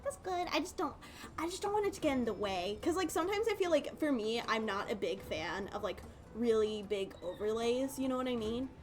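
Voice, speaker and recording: Chatty, higher-voiced woman streaming. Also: big pitch swings, brisk. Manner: rambling, giggly, informal. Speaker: game streamer. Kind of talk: casual livestream chat.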